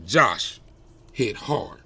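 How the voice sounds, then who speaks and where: A man reading a children's story aloud expressively, with deliberate, emphatic phrasing and dramatic pauses. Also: medium pitch, lively intonation, sharp tone, breathy edge. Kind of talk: expressive read-aloud storytelling with brief asides.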